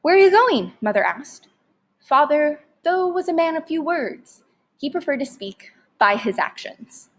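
Higher-voiced woman reading a story aloud. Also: lively intonation, measured pace. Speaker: read-aloud narrator.

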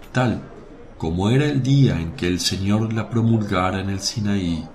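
A male actor plays a gentle, merciful holy teacher in a devotional radio play. His low-pitched voice moves slowly and solemnly, with tenderness in the measured delivery. The sound is roomy and echoing, with music underneath. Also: a little hiss or hum. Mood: solemn, firm. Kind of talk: devotional radio drama acting. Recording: roomy echo over music.

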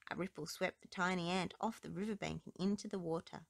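A woman's voice: on the low side, fairly even intonation, fairly quick, gravelly, no room sound. A woman narrating children's stories and rhymes aloud as a storybook reader, recorded close up indoors.

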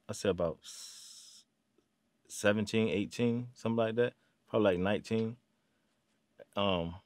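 A man, from Virginia, talking as an interview guest in a halting way full of "um"s and restarts. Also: on the low side, no echo, clean studio sound. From Virginia, USA. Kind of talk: casual interview. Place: small studio room.

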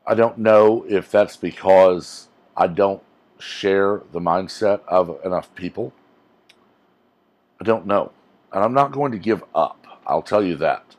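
A deep, low-pitched man in his forties talks straight to camera in a casual, rambling rant. He sounds earnest and emphatic, recorded close on a lapel mic in a small indoor room. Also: slow, a little mellow. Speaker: YouTuber.

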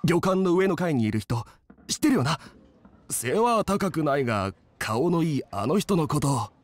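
An actor playing a friendly young male inn cook in an anime game, with rough, casual, hearty speech. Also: on the high side, big pitch swings, moderate pace, noisy background. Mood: confiding.

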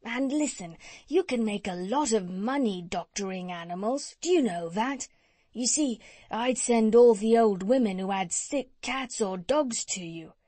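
Casting: folksy meat-selling man for a storybook, woman reader acting, crafty, rambling, uneducated talk, lively swings in tone. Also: fairly high pitch, no room sound. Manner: chatty, uneducated colloquial speech. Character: folksy, unschooled, scheming tradesman, male cat's-meat seller.

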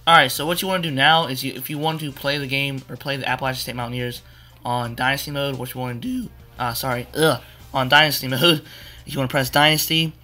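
A young man with a hoarse, cracking voice, rambling casually through a video game walkthrough with many fillers. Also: medium pitch, steady tone, fast, bright, forceful tone, soft background music. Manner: rambling, repeats "you wanna" with fillers. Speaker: gaming tutorial maker. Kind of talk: casual screen-capture walkthrough.

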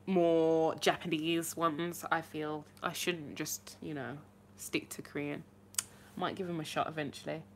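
A young woman chatting casually to viewers on a live stream from her bedroom, bubbly and animated, with her phone held at arm's length. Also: mid-range voice. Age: young adult. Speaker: livestream host answering viewer questions. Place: small bedroom indoors.

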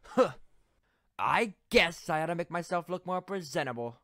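A woman voicing a cocky, self-conscious young male unicorn squire in an animated web series, with a wry, drawling manner. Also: lowish voice, moderate pace, no room sound. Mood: smug, relaxed.

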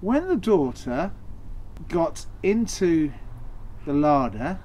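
A middle-aged Englishman with a high, very animated voice tells a folk tale. His delivery is theatrical, with deliberate dramatic pauses. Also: slight background noise. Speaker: costumed storyteller. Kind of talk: theatrical oral storytelling. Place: small draped indoor set.

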